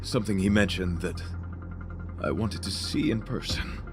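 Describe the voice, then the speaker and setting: A man's voice: lowish voice, moderate pace, close to an everyday voice. A man acting a chivalrous, earnest knight in a video game, speaking in a formal, courtly, theatrical way. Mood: solemn, earnest. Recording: music behind the voice.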